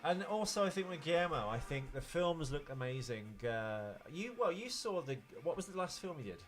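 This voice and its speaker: A man's voice: a little high, big pitch swings, normal speed, faint music behind. Man chatting casually about films. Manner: opinionated, explanatory. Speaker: podcast co-host. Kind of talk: casual film chat.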